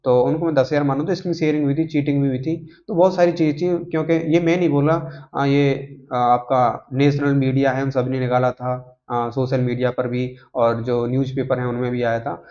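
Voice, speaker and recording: Higher-voiced man, dull lo-fi sound. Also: a little mellow. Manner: repetitive check-in tags, rambling. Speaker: YouTube host giving job exam updates. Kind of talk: informal explainer, speaking off the cuff to viewers.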